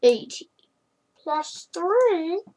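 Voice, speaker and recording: A child narrating a homemade number-block animation in a slow, wondering way, with many hums and murmurs. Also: very high-pitched, big pitch swings, darkish tone, poor audio.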